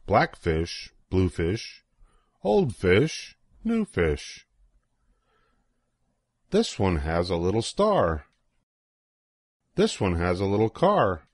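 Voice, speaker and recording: An older man reading a children's picture book aloud in an expressive, sing-song way with deliberate pauses. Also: sits low, dry recording, clean studio sound. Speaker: storybook reader.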